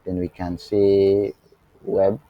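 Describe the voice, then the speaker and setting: A man's voice: low-pitched, level tone, no room sound, highs cut off. A man teaching web development live online, who often asks the class "what?" and checks whether they are following. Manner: asks rhetorical "what?" questions and lets the class fill in the answer; slow and deliberate, with fillers and restarts. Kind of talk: live online coding lesson. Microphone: remote class, voice faint at times.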